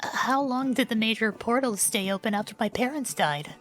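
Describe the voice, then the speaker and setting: A woman's voice: fairly high pitch, brisk, soft background music, close to an everyday voice. A woman playing a young queen in a fantasy fandub, with an informal, blunt and questioning way of talking. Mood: curious. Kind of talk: fandub fantasy drama acting.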